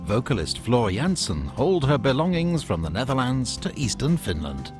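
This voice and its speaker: A man reading scripted documentary narration in a lively, wry, newsy way. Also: mid-range voice, moderate pace, music in the background.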